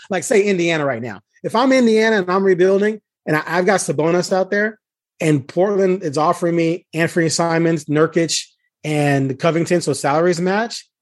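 Higher-pitched man hosting a sports podcast. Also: darkish tone, dry recording, clean studio sound. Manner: emphatic, punchy, asks rhetorical questions. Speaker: podcast host, sports beat reporter. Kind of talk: conversational sports commentary.

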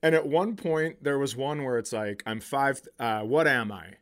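A man's voice: mid-range voice, normal speed. Middle-aged man telling comic stories, profane. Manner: profane, animated retelling with acted-out quotes. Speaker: comedian podcast host. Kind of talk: casual comic storytelling.

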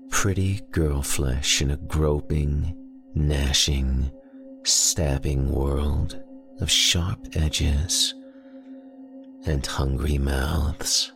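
A man voicing an eerie young woman patient who talks softly, slowly and sleepily. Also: rumbling low. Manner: soft, slow, sleepy.

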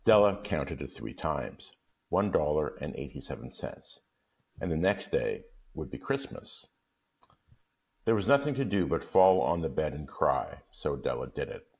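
A man's voice: a bass voice, big pitch swings, measured pace, creaky, darkish tone. A man speaking as a guest reader, in casual chat and storybook reading, on a dull recording. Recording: dull, muffled lo-fi audio.

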